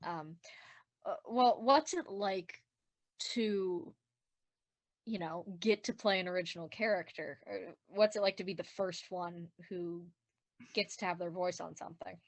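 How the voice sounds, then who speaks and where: A woman's voice: on the low side, measured pace, dry recording. A woman talking casually on a podcast panel in a playful, jokey way, putting questions to the others. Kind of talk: casual panel chat, post-show commentary.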